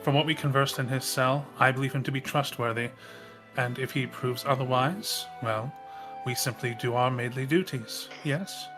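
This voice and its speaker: Guy playing a tabletop rpg, ordinary mid voice, music clearly going behind him. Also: medium pitch, normal speed. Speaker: tabletop roleplaying player. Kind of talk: casual roleplay and game chat. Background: background music.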